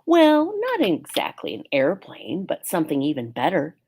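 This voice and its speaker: Woman reading as a courteous old alley cat, slightly creaky. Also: sits low, huge pitch swings, moderate pace, darkish tone, a little hiss or hum, exaggerated voice. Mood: reminiscing. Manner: courteous, storytelling. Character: polite old female alley cat, worldly former traveler.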